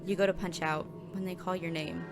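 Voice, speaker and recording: A woman's voice: on the low side, fairly even intonation, fairly quick, vocal fry. A woman playing a weary, downtrodden coffee shop worker in a scripted audio drama. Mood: weary, brooding. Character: weary, downtrodden barista narrating their own workday in second person. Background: music.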